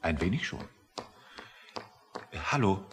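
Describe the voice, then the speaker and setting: A man's voice: sits low, lively intonation, slow, background noise. A man acting a skeptical, status-minded official in a radio play, speaking in a dry, condescending, musing way. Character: skeptical, status-minded male official waiting outside a meeting.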